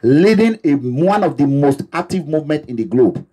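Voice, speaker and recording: Husky, lively Nigerian man, high voice. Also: moderate pace. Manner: preaching, repetitive, emphatic. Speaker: activist movement leader. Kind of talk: impassioned address to supporters. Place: abroad, away from home.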